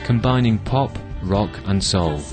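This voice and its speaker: Male narrator, low register, scripted documentary profile read with music underneath. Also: a little slow. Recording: music behind the voice.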